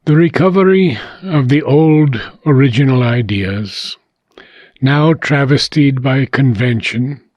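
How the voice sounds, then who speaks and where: A man reads a philosophical book aloud at a slow pace, pausing often between measured phrases. His voice sits at a middling-to-ordinary male pitch. The recording is close and dry, with very little room sound. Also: a little mellow, no room sound. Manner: deliberate, measured phrasing with frequent pauses. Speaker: audiobook reader. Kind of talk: scripted reading of a philosophical book.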